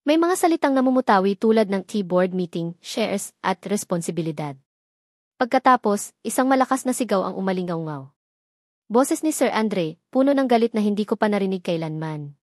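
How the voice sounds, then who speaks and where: A woman's voice: mid-range voice, expressive, moderate pace, darkish tone, dry recording, clean studio sound. A woman with a Filipino accent reading a scripted, first-person dramatic story as narrator.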